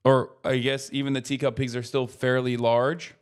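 A man's voice: mid-range voice, flat delivery, normal speed. A man in his thirties giving casual livestream commentary into a close microphone. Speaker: livestream host.

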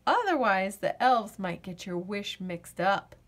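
Woman reading as a patient big brother, slow-paced. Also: medium pitch, sing-song. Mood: coaxing. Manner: coaxing, reasoning. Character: patient, persuasive big brother, a young boy. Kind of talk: children's storybook read-aloud.

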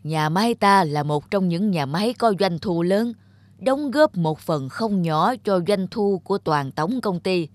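A woman voicing a shrewd, calculating male factory director in a cartoon, speaking with measured, insinuating authority. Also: on the low side, expressive, no room sound. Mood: firm, serious. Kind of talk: cartoon voice acting.

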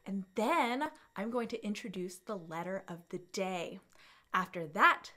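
A young woman hosting a children's storytime, speaking with animated, exaggerated enthusiasm and deliberate enunciation as she teaches. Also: mid-range voice. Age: young adult. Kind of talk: children's educational presentation.

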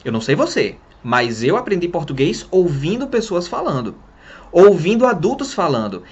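Animated young Brazilian man teaching. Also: on the high side, normal speed, slightly rough, muffled recording. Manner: lively, talks with his hands. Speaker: English teacher. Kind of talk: casual spoken lesson to camera. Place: small room in front of a printed wall.

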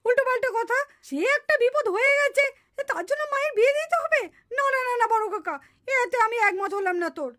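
A woman's voice: very high-pitched, lively intonation, quick pace, a bit bright. A woman voicing an emphatic, scolding village female in a puppet family drama. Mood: indignant protest. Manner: emphatic, scolding, repeats words in protest. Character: high-pitched village women and girls in a puppet family drama. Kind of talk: dramatized Bengali puppet-show dialogue.

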